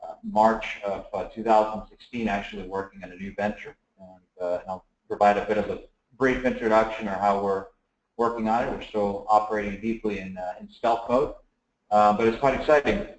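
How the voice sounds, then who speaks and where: A man from the Dominican Republic giving a relaxed technical talk at a seminar, with many fillers and restarts. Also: fairly low pitch, a little fast. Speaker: guest speaker. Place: seminar room, echoey. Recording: echoey room.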